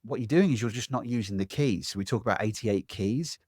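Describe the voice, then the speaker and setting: A man, a public speaking coach giving a lively interview answer from home, acting out voices as he talks. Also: fairly low pitch, fairly quick, no echo, studio quality. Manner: animated, acts out other people's voices.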